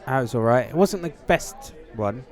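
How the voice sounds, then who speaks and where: A man's voice: medium pitch, expressive, moderate pace, darkish tone, some chatter behind. A man chatting casually as a podcast guest. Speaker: podcast guest, former radio presenter. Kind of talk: casual chat.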